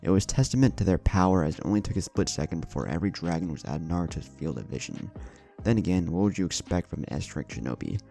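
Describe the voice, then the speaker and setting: Very deep, gravelly male story narrator. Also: animated, fast, mellow, soft background music. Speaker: fan fiction narrator. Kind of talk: scripted story narration with character dialogue.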